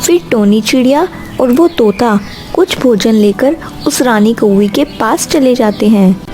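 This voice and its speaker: A woman's voice: on the high side, animated, a little slow, mellow, faint music behind. A woman narrating a scripted children's cartoon story.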